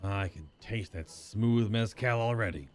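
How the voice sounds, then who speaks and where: A small, gruff male saddle maker creature from a fantasy game, voiced by a man reading along. He speaks formally and gets straight to business, with quiet game music behind. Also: on the low side, unhurried, close to an everyday voice.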